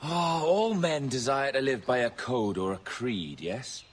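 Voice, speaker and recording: A cynical old Templar. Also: male voice, medium pitch, expressive, a little slow, husky. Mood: musing. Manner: philosophizing, condescending. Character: cynical old male Templar, self-styled old wolf. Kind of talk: video game cutscene acting.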